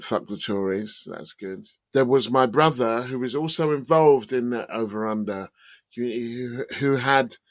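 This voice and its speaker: A man's voice: medium pitch, no room sound. A man co-hosting a podcast in casual chat, telling stories and acting out what others said. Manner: animated storyteller who acts out quoted voices. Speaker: podcast co-host.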